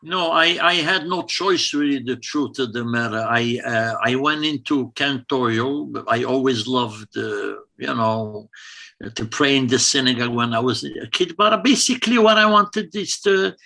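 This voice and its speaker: Gravelly, bright-voiced man who stammers. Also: medium pitch. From Morocco. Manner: halting, stacks of repeated words, laughs easily. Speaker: cantor, guest. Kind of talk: casual interview answers.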